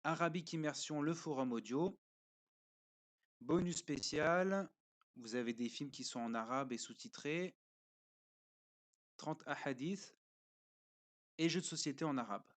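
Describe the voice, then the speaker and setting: Hesitant man giving a casual review. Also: a little high. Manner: hesitant, pauses often with fillers; explains step by step while showing a screen. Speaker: parent reviewing a learning platform.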